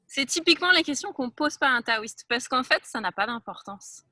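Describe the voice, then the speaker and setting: Bright-voiced woman speaking frankly. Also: sits high, expressive, a little fast, no echo, studio quality. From France. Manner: frank, emphatic, conversational. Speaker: Taoist teacher, guest. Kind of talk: reflective interview talk.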